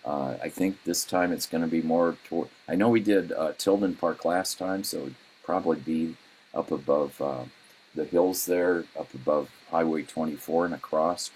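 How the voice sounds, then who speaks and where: A man, the club president, reading out weekly announcements at a meeting, halting often with ums and uhs. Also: low voice, a little mellow, slightly rough, low-quality recording.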